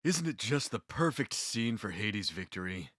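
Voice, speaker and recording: A man voicing a haughty, excitable follower of Hades in an anime fan dub, theatrical and indignant. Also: on the low side, moderate pace, heavy background noise. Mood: smug, gloating.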